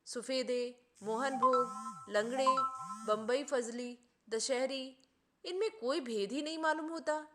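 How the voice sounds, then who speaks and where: A woman voicing an easygoing gentleman guest in a storybook, polite and reassuring. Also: high voice, takes it slowly, loud music behind the voice. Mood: consoling.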